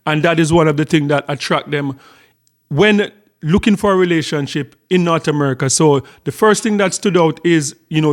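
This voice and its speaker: Guy doing a reaction vid, kinda high voice, calm, explains everything. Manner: calm, explaining step by step, some ums. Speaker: reaction video host. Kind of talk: reaction video commentary.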